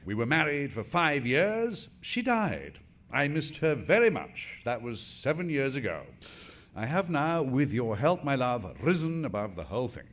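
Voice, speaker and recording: Witty british husband in a drawing room comedy, middle aged, dry and flippant, very animated pitch. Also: male voice, a little slow. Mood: droll, breezy. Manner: dry, urbane, teasing, articulate. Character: witty, flippant, urbane remarried English husband. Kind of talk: stage comedy radio acting.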